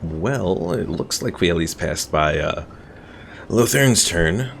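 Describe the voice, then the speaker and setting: A man's voice: sits low, lively intonation, takes it slowly, vocal fry, a little hiss or hum. A man streaming a strategy game with casual live commentary, thinking aloud and reading chat.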